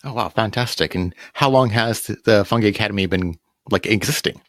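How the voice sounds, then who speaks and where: A man's voice: fairly low pitch, fairly quick, a bit bright, no echo, studio quality. A man hosting a podcast, asking the guest brief, plain questions. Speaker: podcast host. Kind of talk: casual interview questions.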